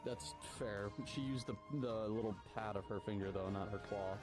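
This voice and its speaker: A man's voice: on the low side, fairly even intonation, moderate pace. A man chatting casually as a player in an online role-play. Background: music.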